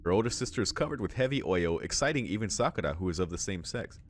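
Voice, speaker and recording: A man with a fairly low voice hosts a let's play, reading game narration aloud. He breaks off into casual, exclamatory asides as he reacts. Some noise sits behind his voice. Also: animated, quick pace. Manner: informal, exclamatory asides between read passages. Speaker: visual novel let's play host.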